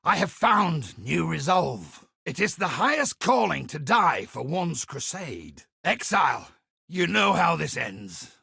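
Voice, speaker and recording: A man voicing a zealous, wry templar priest in a video game, with a gravelly, raspy voice and a preachy, theatrical delivery. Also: a little high, very animated, slow, bright, forceful tone, no room sound, clean studio sound, heavily acted. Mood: resolute, zealous. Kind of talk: video game character voice acting.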